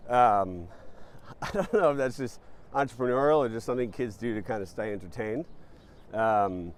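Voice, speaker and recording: A startup founder answering interview questions in a relaxed, thoughtful way, with many ums and uhs. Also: male voice, mid-range voice, normal speed, a little hiss or hum. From born in Panama, raised in New Jersey.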